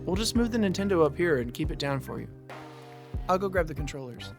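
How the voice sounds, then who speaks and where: A man playing a calm, curious young housemate in a naturalistic audio drama, speaking in a measured, casual way. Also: a little high, vocal fry. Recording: music under the dialogue. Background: music.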